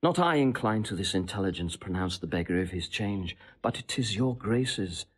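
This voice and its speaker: Husky, scheming Roman seducer. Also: male voice, low-pitched, animated, moderate pace. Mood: brooding disgust. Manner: theatrical, declamatory, elaborate courtly phrasing. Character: smooth, scheming Roman seducer, flattering and insinuating. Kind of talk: classical Shakespearean audio drama acting.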